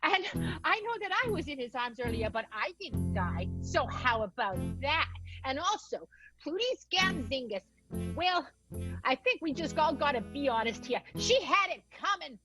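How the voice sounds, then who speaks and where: A woman's voice: high-pitched, big pitch swings, moderate pace, rough recording. A woman playing a brazen, flippant vaudeville suspect in an improvised radio play. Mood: flippant, defiant. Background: music.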